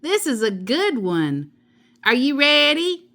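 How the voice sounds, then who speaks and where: A woman's voice: high voice, big pitch swings, slow, gravelly, pushed and bright, voice pushed up, heavily acted. A woman voicing a cheerful, literal-minded, folksy woman teacher in a children's storybook, full of exclamations. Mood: excited.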